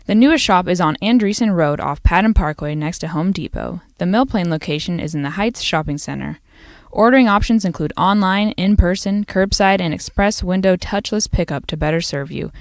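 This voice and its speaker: A woman's voice: fairly low pitch, fairly quick, no echo. A woman announcer reading a scripted radio sponsor message in a studio.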